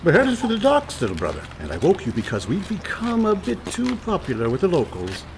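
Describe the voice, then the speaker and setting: Man playing a wildly animated, glib con man. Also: high-pitched, a little fast, a little hiss or hum, exaggerated voice. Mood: breezy, teasing. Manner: glib, theatrical, cajoling. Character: breezy, smooth-talking older brother, con man. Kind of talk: comic radio play acting.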